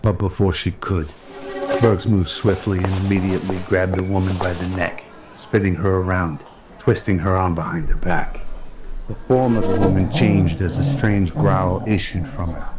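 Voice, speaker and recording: A man's voice: sits low, fairly even intonation, normal speed. A man reading scripted narration for a sci-fi radio drama. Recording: loud music behind the voice.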